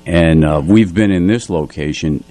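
A man's voice: low-pitched, moderate pace, dark tone. A man working as a radio announcer and co-host, chatting casually and effusively in a studio, often repeating himself for emphasis. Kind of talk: casual radio chat and show introduction. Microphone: studio radio microphone.

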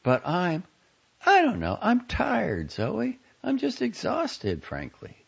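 A man's voice: high voice, wildly swooping pitch, takes it slowly, creaky, slightly rough, voice pushed up, full character voice. A man reading aloud and voicing a young woman on the phone who is exhausted and exasperated. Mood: weary. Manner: pleading, exasperated. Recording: dull lo-fi audio.

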